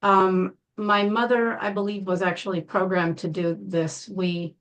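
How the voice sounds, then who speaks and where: A woman guest in an interview, telling her life story in a calm, measured way with frequent pauses. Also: mid-range voice, rough recording. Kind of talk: interview, personal account.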